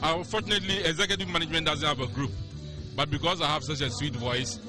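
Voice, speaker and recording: A man's voice: on the high side, fairly even intonation, quick pace. A man being interviewed at a festive event, jovial and joking between answers. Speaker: airline executive interviewee. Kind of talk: on-location interview. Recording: music playing behind at an event.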